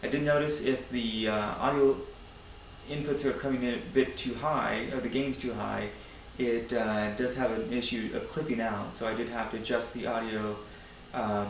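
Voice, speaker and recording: An American man giving a casual DIY demonstration of a hobby project, speaking in a hesitant, halting, informal way. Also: medium pitch, gravelly, a little hiss or hum, rough recording. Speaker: hobbyist maker presenting a project.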